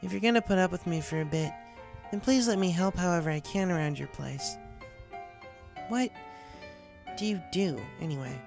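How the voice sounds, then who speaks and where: A man voicing a tomboyish young female pegasus pony, eager and grateful, in a creaky voice for a storybook reading. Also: high-pitched, normal speed, pauses often, music in the background, voice pushed up.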